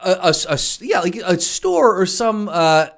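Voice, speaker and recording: A man's voice: sits high, very animated, a little slow, vocal fry. An American man co-hosting a podcast, chatting casually with emphatic, exasperated, profane comic complaints. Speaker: podcast co-host. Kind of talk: casual comedic chat.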